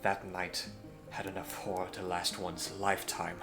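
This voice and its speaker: A man playing a courteous, earnest young Victorian gentleman hero in a scripted audio roleplay, with formal, hesitant phrasing. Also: sits low, little pitch movement, moderate pace, over music. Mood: grave, sorrowful.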